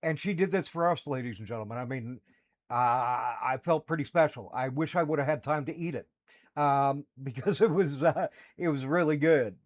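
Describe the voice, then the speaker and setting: A man's voice: mid-range voice, moderate pace. A man co-hosting a livestream, chatting casually and rambling with many fillers and restarts. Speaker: podcast co-host. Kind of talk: casual chat.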